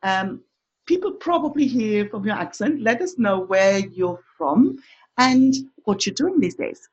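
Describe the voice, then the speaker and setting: A woman's voice: medium pitch, lively intonation, unhurried, slightly rough, muffled recording. A woman hosting a business podcast, chatting casually with a guest in an upbeat way and laughing often. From not Australian, has lived in Australia. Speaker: podcast host and business coach. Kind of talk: casual interview chat.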